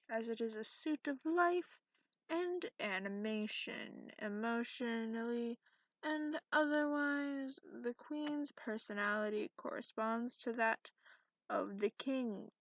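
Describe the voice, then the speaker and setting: A woman plays an ancient, cranky dragon in an ASMR roleplay, speaking slowly in a fairly high voice. The delivery is soft, haughty and sarcastic, with grudging manners. The recording is dull and lo-fi. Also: dry recording. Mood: measured reading. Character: cranky sarcastic centuries-old dragon who looks young, reading tarot. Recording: dull lo-fi microphone sound.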